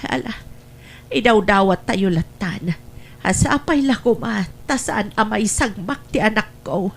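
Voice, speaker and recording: A woman's voice: medium pitch, measured pace, slight background noise, close to an everyday voice. Woman as older mother, worried, lamenting, anxious sighing delivery, family radio drama. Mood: resigned, worried.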